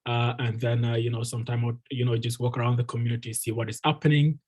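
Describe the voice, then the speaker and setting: A man's voice: mid-range voice, little pitch movement, quick pace, darkish tone, slightly rough. A man in his twenties from Ethiopia, a guest speaker and refugee advocate, telling his life story earnestly on a video call with many ums and uhs. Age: 20s. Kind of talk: personal story told at an online meeting.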